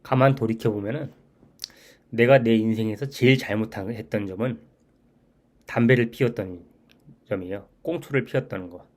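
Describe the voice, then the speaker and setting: Vlogger, male, 40s, lowish voice; sincere, repetitive, self-correcting delivery. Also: normal speed, long pauses, darkish tone. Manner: earnest, repeats key points, frequent restarts. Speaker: channel host. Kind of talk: reflective personal monologue.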